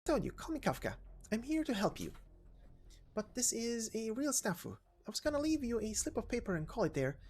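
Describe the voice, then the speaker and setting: A woman plays a cheeky young female covert helper in a game story, pitched high and swinging freely in tone. She talks in breezy slang and trips casually over bigger words. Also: very animated, a little fast, a little mellow, slight background noise. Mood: brisk, confiding. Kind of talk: voiced game story reading.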